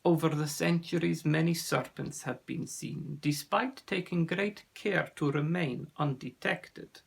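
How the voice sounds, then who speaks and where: A man reading a children's story, voicing a proud, grave father sea serpent in a solemn, measured manner. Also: a little high, gravelly, fairly bright tone, husky, exaggerated voice. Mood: grave, urgent.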